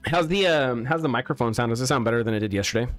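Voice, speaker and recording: A man's voice: medium pitch, racing, soft background music. A young man chatting casually on a live stream while building a game, exclaiming at mistakes and restarting his sentences, speaking into a studio mic. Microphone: studio mic with pop filter.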